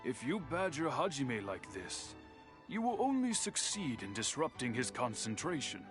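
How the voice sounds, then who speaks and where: A man voicing a dignified, stern old master swordsmith in an anime-style video game, formal, measured and gently admonishing. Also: mid-range voice, huge pitch swings. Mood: gently scolding. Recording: music behind the voice.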